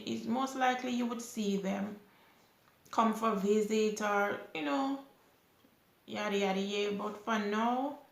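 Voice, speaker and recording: Jamaican lady on her couch answering viewer qs, slow and easygoing, bit of fry, roomy sound. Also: a little high. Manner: unhurried, chatty, with lively rises when emphatic. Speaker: vlogger answering viewer questions. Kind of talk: casual Q&A chat. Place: small indoor room, seated on a sofa. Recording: echoey room.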